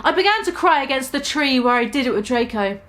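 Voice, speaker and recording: A woman's voice: sits high, expressive, fairly quick, fairly bright tone. A woman giving a mock-dramatic reading of a story, breaking off into sarcastic asides. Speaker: comedic reader.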